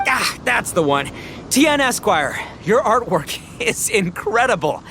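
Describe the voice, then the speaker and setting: Brash, wildly animated oni gang boss. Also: male voice, sits high, unhurried, slight background noise, heavily acted. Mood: grateful. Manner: bombastic and easily excited, stumbling over formal words. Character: brash, boisterous, dim-witted young male oni gang boss. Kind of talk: video game voice acting.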